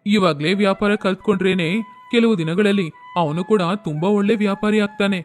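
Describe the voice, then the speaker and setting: A stern, worried merchant father from a cartoon tale, voiced by a man. He lectures in a firm tone, and his voice sits high for a man. Faint music plays behind the scene. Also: a little fast, natural voice.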